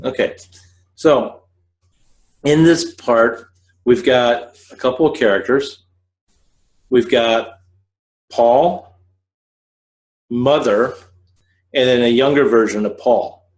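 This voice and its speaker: A man hosting a scriptwriting podcast, explaining in a modest, deliberate way. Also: mid-range voice, poor audio. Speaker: podcast host teaching scriptwriting. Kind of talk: casual explanatory talk.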